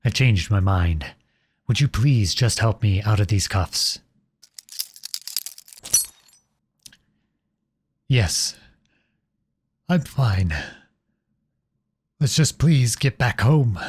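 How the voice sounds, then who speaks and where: A man playing a noble runaway outlaw prince in a dramatic audio roleplay, earnest and imploring, with a husky voice. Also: on the low side, animated, takes it slowly, pauses often, no room sound, exaggerated voice. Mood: grieving, subdued. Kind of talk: dramatic ASMR roleplay acting.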